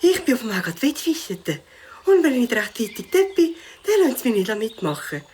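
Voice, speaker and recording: A busy, excitable catfish from a children's audio play, acted by a woman. The voice sits high and jumps around a lot, with a Swiss German accent. Light outdoor ambience is behind it. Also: a little fast, slight background noise. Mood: rushed. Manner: hasty, excitable. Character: hurried catfish on a scooter, keen angler.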